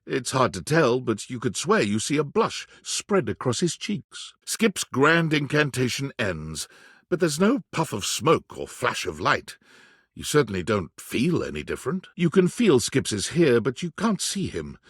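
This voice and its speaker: A man narrating a supernatural video game in the second person, with measured, theatrical scripted delivery. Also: mid-range voice, very animated, slightly rough, no room sound, studio quality.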